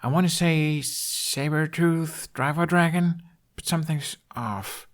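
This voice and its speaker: A thoughtful, curious boy from a fantasy audiobook, as a man reads him. The voice sits raised in pitch and has a youthful, musing, slightly cheeky manner. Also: takes it slowly. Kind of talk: audiobook character voice.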